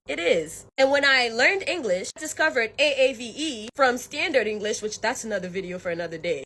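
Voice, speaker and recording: A woman in her twenties from Haiti talks straight to her viewers in an unfiltered, animated way, swearing freely. Her voice sits fairly high for a woman. Her casual chat slides into storytelling. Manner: candid, profane, animated, direct to viewers. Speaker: vlogger.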